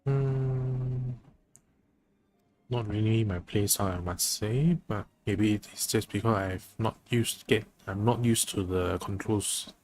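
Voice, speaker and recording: A man's voice: on the low side, slow pace, darkish tone, a little hiss or hum. A man giving casual live commentary on a game as a streamer, musing and thinking aloud. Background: faint game music.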